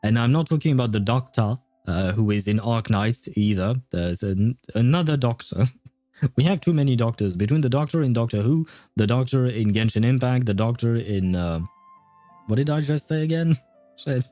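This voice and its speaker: A man's voice: mid-range voice, expressive, moderate pace, mellow, no room sound, faint music behind. A man doing casual livestream game commentary, jokey and rambling as he thinks aloud into a close mic.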